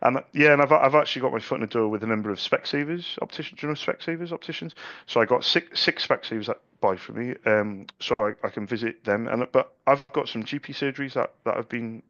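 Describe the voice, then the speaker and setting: A man's voice: medium pitch, quick pace, a bit bright, no echo, muffled recording. A middle-aged man, a salesman talking casually on a sales call, chatty and repeating words as he restarts his sentences.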